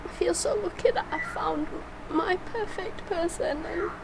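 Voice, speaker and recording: A woman's voice: very high voice, a little slow, creaky, background noise. A woman talking casually and personally as a reaction vlogger, heartfelt and rambling, emotional. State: emotional, tearful.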